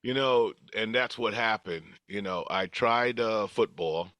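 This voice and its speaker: A man's voice: fairly low pitch, unhurried, a bit bright, clear, resonant tone, dry recording. An older man telling stories from his life as a podcast guest, casual, chuckling through anecdotes and acting out dialogue. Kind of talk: casual reminiscing storytelling.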